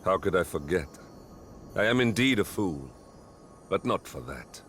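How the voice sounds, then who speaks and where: An actor playing a noble, loyal, sorrowful knight in a video game, speaking formally and earnestly. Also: male voice, low-pitched, animated, unhurried. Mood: regretful. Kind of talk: dramatic video game voice acting. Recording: loud music over the voice.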